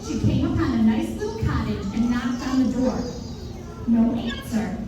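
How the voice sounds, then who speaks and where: A woman's voice: high-pitched, very animated, moderate pace, music in the background. A woman narrating a live children's storybook puppet show. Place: indoor live puppet performance. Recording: very echoey, noisy room, distant mic.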